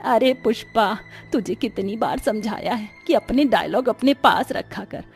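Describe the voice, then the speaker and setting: A woman's voice: a little high, measured pace, soft background music. A woman voicing an older, practical village mother-in-law in a cartoon story, chiding and exclamatory. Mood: scolding. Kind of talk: Hindi cartoon story acting.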